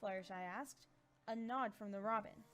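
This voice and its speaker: A woman's voice: medium pitch, normal speed, fairly bright tone, faint music behind. A woman reading scripted third-person fiction aloud as a storyteller. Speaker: narrator.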